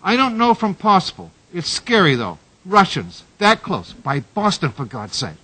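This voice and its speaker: A man's voice: high-pitched, huge pitch swings, normal speed, pushed and bright, full character voice, low-quality recording. A man playing a hot-headed, anxious barroom regular in a radio drama, coarse and combative. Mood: alarmed.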